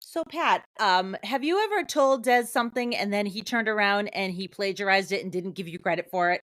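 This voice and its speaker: A woman's voice: medium pitch, fairly quick, fairly bright tone, no echo, studio quality. A woman co-hosting a podcast, chatting casually and recapping films. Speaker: podcast co-host. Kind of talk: casual film review chat with plot recap.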